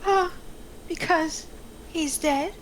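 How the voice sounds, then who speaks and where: A woman's voice: very high-pitched, extremely slow, exaggerated voice. A woman playing a stubborn, reluctant female getaway driver in a crime script. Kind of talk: script table read. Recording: distant mic, faint background noise.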